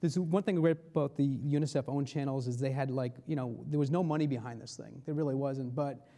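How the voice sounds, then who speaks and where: Mellow-voiced man presenting informally. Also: mid-range voice, a little fast. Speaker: advertising executive, conference speaker.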